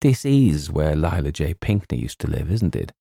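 A man's voice: extremely low pitch, very animated, moderate pace, gravelly, warm tone, no room sound, studio quality, heavily acted. A man voicing a sweet, fragile, romantic young woman in a storybook, with a breathless, gushing, hesitant manner. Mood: shy, earnest.

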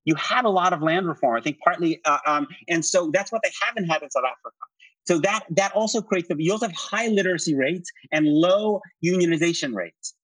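Male political commentator guest: earnest, halting, conversational, with a husky edge and very dry, close sound. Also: fairly high pitch, a bit bright, no echo, low-quality recording. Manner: earnest, halting with repeated words, frequent "you know" and "right?".

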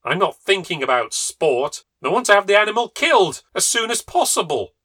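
A man voicing an agitated, fussy husband who keeps poultry and a flower garden, speaking raised and excitable. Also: a little high, normal speed, no room sound, studio quality, pitched above the performer's own voice. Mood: angry.

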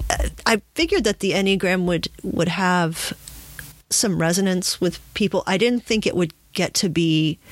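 Woman podcast host, lowish voice, chatty and quick to chuckle, really dry close sound. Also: no room sound. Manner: chuckles often while talking. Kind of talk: casual conversational interview.

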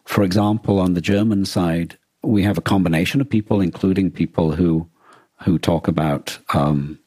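Very deep-voiced, husky man. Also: mellow, dry recording. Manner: halting, thoughtful, rephrases often. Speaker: podcast co-host. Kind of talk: casual discussion of a radio drama and war.

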